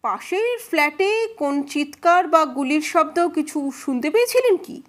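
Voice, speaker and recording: A woman's voice: high-pitched, big pitch swings, moderate pace, pitched above the performer's own voice. A woman voicing a probing male defence advocate in an audio story, questioning witnesses in a formal, insistent, pointed way. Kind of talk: dramatized courtroom questioning.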